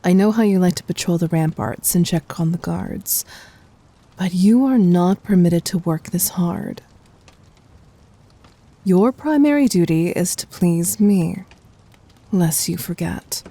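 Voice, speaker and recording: Woman playing a demon queen, ASMR roleplay, dark mellow voice, creaky edge, imperious, possessive, tender, breathy. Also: fairly low pitch. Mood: stern, displeased. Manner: imperious, teasing, deliberate, often breathy near-whisper. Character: commanding, possessive, tender demon queen doting on her lover.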